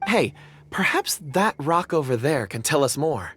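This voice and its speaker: A man's voice: fairly high pitch, very animated, a little slow, fairly bright tone, breathy edge, heavily acted. A man voicing a polite, modest and earnest traveling companion in a video game, courteous in his speech. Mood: attentive. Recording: faint music behind the voice.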